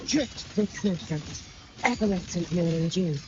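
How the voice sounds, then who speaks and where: A woman's voice: fairly low pitch, a little slow, highs cut off, background noise, lightly acted. An actress voicing a resolute woman fighter in a fantasy video game, calling out short combat lines. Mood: resolute, commanding. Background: game music.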